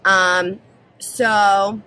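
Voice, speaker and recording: A woman's voice: mid-range voice, flat delivery, drawn-out words, pushed and bright, slight background noise. An American woman speaking as a guest in a casual interview, explaining things in an informal, chatty way. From born in Israel, grew up mostly in New Jersey, US.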